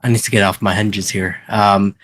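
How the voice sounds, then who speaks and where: A man's voice: fairly low pitch, flat delivery, normal speed, fairly bright tone. A man hosting a casual podcast chat, enthusiastic and restarting his sentences often. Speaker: podcast host.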